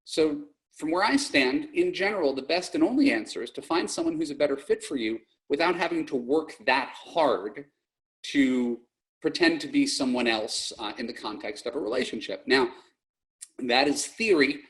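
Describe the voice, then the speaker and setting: A man's voice: on the high side, animated, moderate pace, fairly bright tone, low-quality recording. A middle-aged man hosting a dating advice podcast, speaking bluntly and plainly and often correcting himself mid-sentence. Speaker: podcast host and dating coach. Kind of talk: podcast monologue and call-in coaching.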